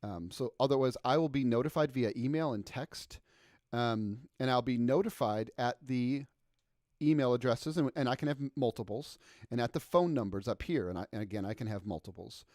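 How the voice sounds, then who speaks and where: A man's voice: mid-range voice, moderate pace, darkish tone, dry recording. Guy doing a screen-share tutorial, mid voice, says um a lot, dry no-room sound. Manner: casual, many ums and restarts, self-correcting. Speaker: product trainer. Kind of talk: software walkthrough screencast. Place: voice-over on a screen recording.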